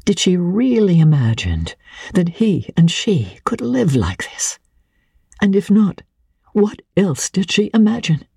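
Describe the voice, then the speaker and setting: A woman narrates literary fiction with dramatic expression. Her voice is very husky and mellow, and her pitch moves about freely in a lively reading. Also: a little slow, dry recording, studio quality. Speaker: audiobook reader.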